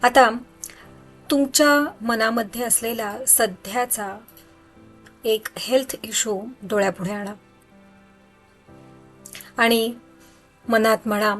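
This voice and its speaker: A woman leading a guided meditation in a soothing, instructional way, leaving pauses between phrases. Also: fairly high pitch, steady tone, a bit bright. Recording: background music under voice. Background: music.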